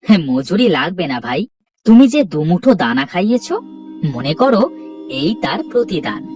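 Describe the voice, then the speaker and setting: A woman voicing a poor young male laborer who talks softly and hopefully, pleading with his wife. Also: lowish voice, big pitch swings, normal speed, breathy edge. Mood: polite. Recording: distant voice with cartoon music behind.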